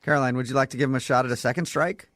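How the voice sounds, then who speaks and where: A man's voice: medium pitch, rapid-fire, a bit bright, dry recording. A man hosting a radio show with casual, joking, teasing banter.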